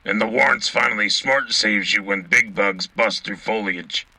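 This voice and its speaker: A man playing a gruff, sardonic soldier who co-hosts a wartime radio show in a blunt, husky voice. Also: fairly low pitch, fairly even intonation, normal speed, bright, forceful tone, no room sound, exaggerated voice, poor audio. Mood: wry. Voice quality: gravelly, husky. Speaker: radio co-host. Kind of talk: in-character military radio commentary.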